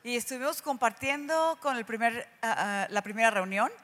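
A woman's voice: a little high, animated, normal speed, fairly bright tone. A woman who is a guest speaker at a church, translating her partner's English into Spanish in short phrases and sometimes searching for words. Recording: long hall reverb.

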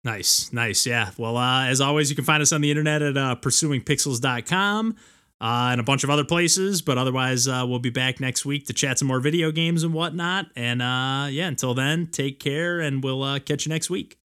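An American man hosts a relaxed group podcast. His voice is bright and forward, sitting at an average pitch. He talks informally, with plenty of slang. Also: normal speed. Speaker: podcast host. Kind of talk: casual group chat about games and sports.

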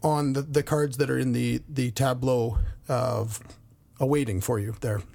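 A man co-hosting a board game podcast, losing his voice a bit and explaining rules in a rambling, halting way with many fillers. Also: medium pitch, fairly even intonation, gravelly, dark tone. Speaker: podcast co-host.

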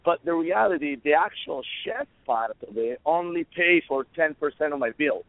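Hoarse Italian man, guest on a call-in, dry tinny phone sound, rambling lists. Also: fairly high pitch, animated, normal speed, no room sound. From Italy. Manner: rambling, restarts, listing. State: hoarse, losing his voice. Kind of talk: interview answers.

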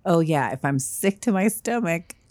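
Middle-aged woman, very low voice, quick slurred-together talk, close dry sound. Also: expressive, darkish tone, no echo. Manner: fast-talking, runs words together. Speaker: podcast co-host. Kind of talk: casual podcast chat.

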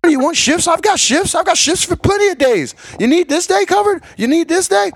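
A man voicing a brisk, pushy older Irish woman who tends bar, firing off eager offers. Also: very high voice, lively intonation, no room sound, clean studio sound, voice pushed up. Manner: eager, rapid-fire offers.

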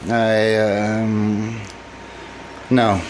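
A man's voice: fairly low pitch, monotone, extremely slow, slight background noise. An actor playing an anxious, troubled businessman in an old-time radio drama, speaking hesitantly with stammers. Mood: guarded. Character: troubled, anxious businessman confessing violent urges to his doctor.

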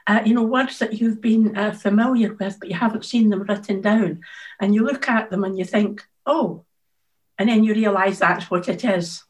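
A woman, an author answering interview questions in a thoughtful, measured way. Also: medium pitch, low-quality recording. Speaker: author, guest.

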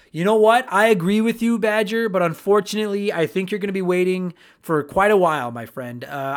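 A man with a high-pitched voice hosts a gaming podcast in relaxed, casual chat. He talks with eager enthusiasm and swears freely. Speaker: podcast host.

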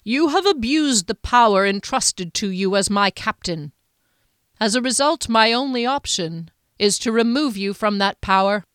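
A woman's voice: a little high, lively intonation, a bit bright, clear, resonant tone, no echo, clean studio sound, pitched above the performer's own voice. Woman voicing a cold, stern duke. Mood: formal judgment. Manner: measured, formal, icy delivery. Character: stern, cold, powerful middle-aged male duke.

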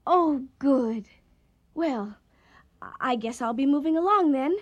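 An actress playing a plain-spoken, earnest waitress and peacemaker in a radio comedy, folksy and pleading. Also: female voice, high voice, animated, slow pace. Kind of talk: radio comedy drama acting.

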